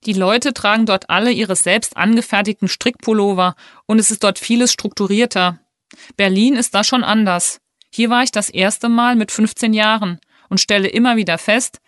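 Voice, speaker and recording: Woman, mid pitch, careful clear textbook read, dry booth sound. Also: moderate pace, fairly bright tone, no echo. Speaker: reader of a listening exercise. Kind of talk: scripted reading of a textbook listening text.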